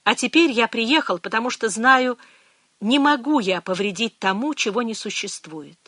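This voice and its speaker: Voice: mid-range voice, lively intonation, normal speed, sharp tone, no echo. A reader voicing a weary, guarded middle-aged priest in an audiobook, speaking in a restrained, reflective way. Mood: wistful.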